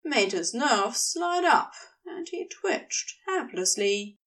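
A woman reading fiction aloud as an expressive narrator, with a wry, conversational way of telling the story. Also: on the high side, slow, distant mic. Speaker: audio fiction reader.

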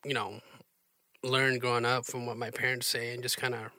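A man hosting a casual interview, relaxed and chatty, with plenty of filler words. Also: mid-range voice, moderate pace, no echo. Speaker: interviewer, host.

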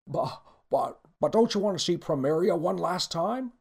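Man doing an earnest, husky, swooping freed prisoner. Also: high voice, expressive, moderate pace, darkish tone, pitched above the performer's own voice, heavily acted. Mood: pleading. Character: earnest, pleading, scruffy freed male prisoner.

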